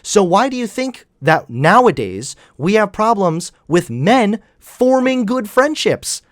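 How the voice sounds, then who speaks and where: A man's voice: sits high, sing-song, slow pace, no room sound, studio quality. Combative young man debating. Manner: combative, lecturing, talks over his opponent. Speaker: debate host. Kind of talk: heated online debate.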